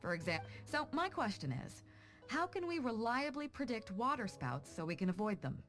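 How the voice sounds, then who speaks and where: A self-assured woman captain from a video game. Her voice sits a little high and moves in animated swoops as she teases and instructs. Faint music plays behind her. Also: normal speed. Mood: instructive. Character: confident, playful woman ship captain training new crew.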